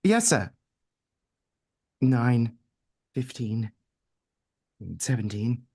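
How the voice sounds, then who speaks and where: An actor playing a meek, downtrodden but good-natured clerk, hesitant and deferential, in a vintage radio drama. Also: male voice, medium pitch, lively intonation, very slow, a little mellow. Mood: timid.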